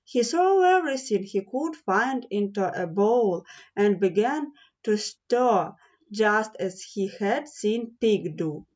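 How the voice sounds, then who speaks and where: Woman, children's story narrator, slow lilting read-aloud, dull lo-fi sound. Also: mid-range voice, animated, darkish tone. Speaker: audiobook narrator.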